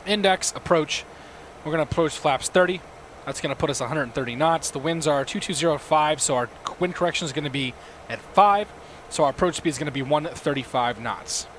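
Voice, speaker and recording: A hoarse man hosting a livestream, chatting casually and talking himself through each cockpit step as he flies a simulator. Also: on the high side, a little fast, a bit bright, a little hiss or hum. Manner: talks himself through cockpit steps, chats with viewers. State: losing his voice, hoarse. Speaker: livestream host. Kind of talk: casual commentary while flying a simulator.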